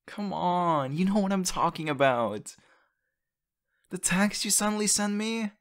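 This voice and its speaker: A man playing an excitable, affectionate young boyfriend in an intimate ASMR audio roleplay, talking haltingly and teasing playfully. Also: sits high. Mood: eager, pleading. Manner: halting, repeats words, breathy laughs and sighs.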